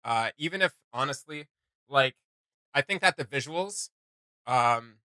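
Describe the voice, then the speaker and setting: A man with a bright, forceful voice at an ordinary male pitch, recorded close and dry. He chats casually as a reaction host, enthusiastic and full of slang. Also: animated, unhurried, clear, resonant tone, dry recording. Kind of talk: casual off-the-cuff reaction commentary.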